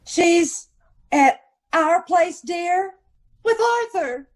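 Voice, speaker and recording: Woman acting a high-voiced, sassy detective's wife. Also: animated, drawn-out words, exaggerated voice. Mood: flustered. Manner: arch, teasing. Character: detective's sassy, sharp-witted society wife. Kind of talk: old-time radio mystery acting.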